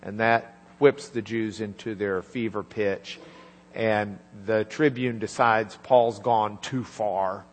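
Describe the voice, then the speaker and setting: Guy preaching slow in a big echoey hall, mains hum underneath, chatty, does little quiet voices for the people in the story. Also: on the low side, fairly even intonation. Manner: conversational, acts out dialogue in quiet asides. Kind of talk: sermon retelling a scripture story.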